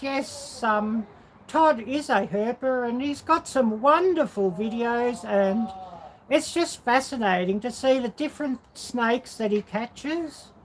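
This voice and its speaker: A woman's voice: a little high, a little hiss or hum, poor audio. A woman hosting a casual livestream, rambling and musing aloud as she answers viewers. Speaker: livestream host.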